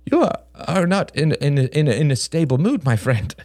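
A man voicing a nervous but defiant younger man in an audiobook drama, stammering and halting as he protests. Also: fairly high pitch, big pitch swings, a little mellow, no room sound, studio quality, voice pushed up. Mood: flustered protest.

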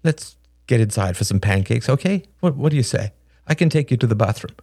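A man's voice: mid-range voice, lively intonation, fairly quick, a little mellow, no room sound, clean studio sound, pitched above the performer's own voice. A man voicing an easygoing, chummy father in an audiobook story, with a jovial, wry, coaxing way of talking.

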